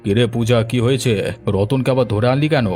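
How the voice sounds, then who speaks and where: A man's voice: mid-range voice, brisk, darkish tone, close to an everyday voice. A man voicing a stern, authoritative village elder in a Bengali cartoon, with a commanding, scolding manner. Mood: questioning. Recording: music behind the voice.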